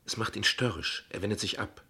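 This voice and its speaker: An actor playing a guarded, ironic father in a radio play, speaking in measured, eloquent, formal sentences. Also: fairly low pitch, vocal fry, pushed and bright, slightly rough, full character voice. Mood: ironic, defensive. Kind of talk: radio play dialogue acting.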